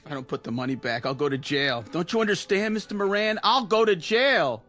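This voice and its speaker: An actor playing a roughed-up young gambler, frightened and pleading, in a radio crime drama. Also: male voice, high-pitched, lively intonation, a little fast, a bit bright. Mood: desperate. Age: young adult. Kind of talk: old-time radio crime drama acting.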